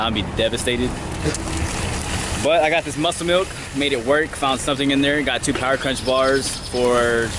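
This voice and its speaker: A young man in his twenties talking casually to a handheld camera while he runs errands outdoors. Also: medium pitch. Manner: laid-back, talking to camera while out and about. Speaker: fitness vlogger. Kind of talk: casual vlog narration. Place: outdoors in parking lots and inside shops. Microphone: handheld camera at arm's length. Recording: loud traffic and outdoor noise.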